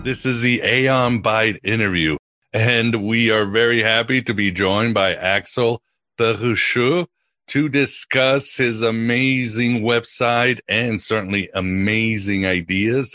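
A man with a deep but bright, forward voice hosts a podcast. He talks casually as he interviews, and the sound is very dry. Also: no room sound. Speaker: podcast host.

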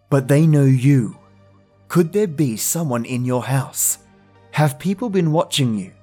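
A man with a mid-range voice presents a spooky story podcast. He reads a scripted introduction addressed to his listeners, with soft music running behind him. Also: medium pitch, slightly rough. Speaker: podcast host.

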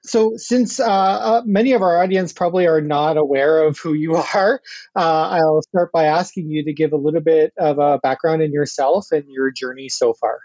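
A man hosting a casual interview podcast, halting and often repeating his words. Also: on the high side, darkish tone, dry recording, dull audio. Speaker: podcast host.

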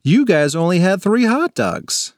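A man voicing an eager, competitive young kid in an audiobook, earnest and excitable. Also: high voice, measured pace, no echo, clean studio sound, voice pushed up, exaggerated voice.

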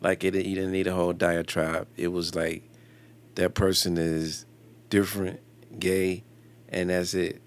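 A man's voice: deep voice, level tone, a little slow. A man in his fifties from Virginia and North Carolina, a podcast guest, talking casually in a laid-back, slangy way. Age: 50s. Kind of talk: casual conversation.

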